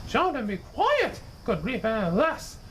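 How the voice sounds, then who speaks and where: A man voicing a flustered, scolding veteran female schoolteacher in a storybook, brisk and indignant. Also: very high voice, huge pitch swings, fairly bright tone, slight background noise, heavily acted. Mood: shocked.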